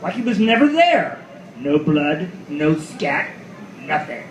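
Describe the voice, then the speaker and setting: A hardboiled, cynical private eye acted by a man tells his noir story with swooping, animated pitch. His voice sits a bit high and he swears with weary sardonic bite. The roomy live recording carries faint noise. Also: takes it slowly. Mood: weary, watchful. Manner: world-weary, profane, sardonic. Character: hardboiled cynical male detective at the world's end. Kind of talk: noir radio play, first-person narration. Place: live reading in a roomy venue.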